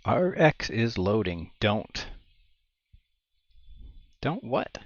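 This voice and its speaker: Low-voiced middle-aged man, dull dry sound. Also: very animated, drawn-out words, no echo. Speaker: tutorial presenter. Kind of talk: informal step-by-step tech tutorial. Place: indoor room at home. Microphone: headset boom microphone.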